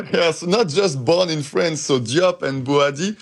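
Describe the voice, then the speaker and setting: A young man, a football fan guest, talking earnestly on a radio show with some halting, slightly non-native phrasing. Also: a little high, creaky. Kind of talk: live radio interview.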